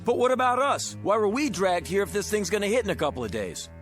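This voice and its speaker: A streetwise gambler who wisecracks his way through a radio drama. His voice sits high and moves a lot. Faint music lies beneath him. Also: sing-song, quick pace, fairly bright tone. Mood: brash. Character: brash male gambler and con man.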